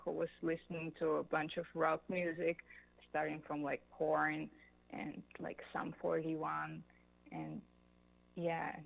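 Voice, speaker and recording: A woman's voice: low voice, creaky, a bit bright, low-quality recording. A woman from Russia, a musician guest answering interview questions in an easygoing way full of "like" and "you know". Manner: easygoing, lots of fillers like "like" and "you know", laughs easily.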